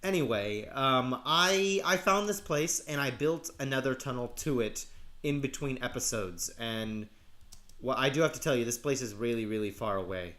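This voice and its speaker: A man narrating his survival game casually while sick, his voice gravelly and cracking, rambling with halting restarts. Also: mid-range voice, fairly bright tone. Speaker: let's play host. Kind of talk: casual gameplay commentary.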